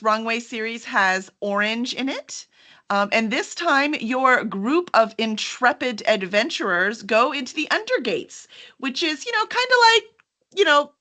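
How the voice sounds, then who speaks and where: Bright, high-voiced woman hosting, very animated. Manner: enthusiastic, gushing. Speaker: host of a book cover reveal. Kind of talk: casual live-stream chat.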